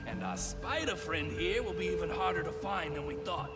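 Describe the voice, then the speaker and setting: An actor playing a smug, pushy criminal dealer in a video game, slick, sneering and impatient. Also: male voice, a little high, sing-song, moderate pace. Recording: loud music behind the voice.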